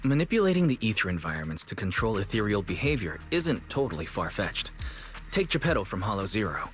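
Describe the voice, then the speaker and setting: A man's voice: fairly low pitch, big pitch swings, a little fast, a bit bright. A man voicing a frank, analytical young male companion in a video game. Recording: music behind the voice. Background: game music.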